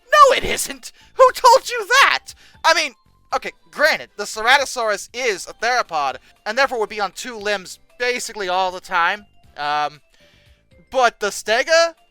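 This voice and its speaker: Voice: mid-range voice, very animated, normal speed, sharp tone, clear, resonant tone, dry recording, faint music behind. A commentator reacting aloud in an incredulous, sarcastic, exasperated way, with emphatic delivery. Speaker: video host. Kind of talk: reacting commentary, reading old text aloud and mocking it.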